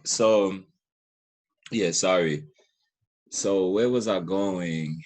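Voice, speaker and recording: A man's voice: sits low, drawn-out words, a little mellow. A man hosting a casual interview, appreciative and repeating words for emphasis. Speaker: podcast host interviewer.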